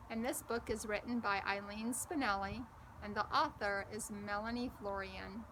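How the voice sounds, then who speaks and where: A woman's voice: mid-range voice, background noise. A woman hosting a children's story time, reading aloud expressively and speaking directly to young listeners. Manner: slow, expressive, addresses child listeners with questions. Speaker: storybook reader and host.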